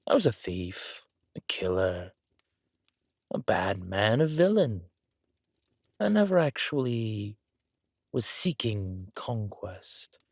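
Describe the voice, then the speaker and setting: A deep, gravelly villain king acted by a man for an audio roleplay. He speaks quietly and deliberately, with a weary dry wit and understated menace. The sound is intimate, with no room echo. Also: sing-song, exaggerated voice. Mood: weary, reflective.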